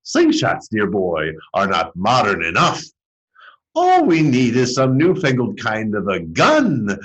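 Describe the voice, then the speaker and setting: A man plays a smug storybook chief, cheery and boastful. The delivery swoops high and low with breezy reassurance, slightly husky and drawn out. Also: huge pitch swings, slow pace, fairly bright tone, highs cut off, heavily acted.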